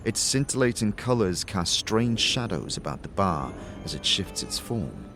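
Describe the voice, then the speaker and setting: A man giving dramatic scripted second-person narration for a fantasy audio series, in a measured, theatrical storytelling manner. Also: on the low side, big pitch swings, a bit bright. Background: music.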